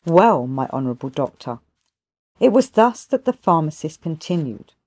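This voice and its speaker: A woman narrating a literary audiobook in a measured, formal, deliberate storytelling manner. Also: low-pitched, very animated, darkish tone, breathy edge, no room sound. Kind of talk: scripted literary narration, storytelling read aloud.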